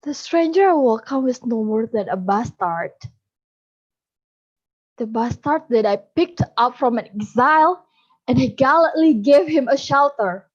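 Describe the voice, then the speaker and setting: A woman recites a spoken-word poem with a bitter edge. Her voice is high, and she takes each line slowly. The recording sounds dull and lo-fi. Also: lively intonation. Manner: slow, measured, bitter delivery. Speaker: poetry contestant.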